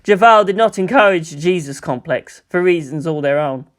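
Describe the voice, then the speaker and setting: A man's voice: fairly high pitch, lively intonation, normal speed, a bit bright. A man reading scripted third-person fiction as the narrator of a story.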